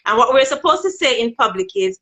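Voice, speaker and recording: A woman's voice: expressive, fast talker, sharp tone. A woman with a Jamaican accent on a phone video call, talking frankly about dating and sex in casual chat. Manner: frank and outspoken, rising in pitch when making a point. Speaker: guest on a live video chat. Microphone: phone held in hand.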